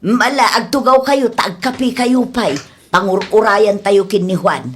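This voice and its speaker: A woman playing a chatty middle-aged village woman in a comedy drama, with a husky voice and an Ilocano accent. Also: medium pitch, unhurried, slight background noise, lightly acted. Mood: busy, friendly. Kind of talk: Ilocano comedy radio-style drama acting.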